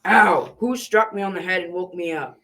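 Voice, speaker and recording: A grumpy old-tale giant husband played by a young girl, gruff and quarrelsome, pitched on the low side. The voice sits back from the mic, like a school radio play. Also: fairly quick, heavy background noise, close to an everyday voice. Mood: angry. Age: child about 12 to 13. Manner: gruff, grumbling. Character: grumpy, quarrelsome father giant, married, male. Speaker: student actor in a school radio drama. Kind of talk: amateur radio drama acting. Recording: distant mic.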